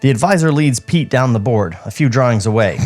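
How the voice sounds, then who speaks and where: A man's voice: medium pitch, lively intonation, a little fast, a little mellow, soft background music. A man co-hosting a podcast, reading a script aloud with irreverent, joking banter. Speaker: podcast co-host.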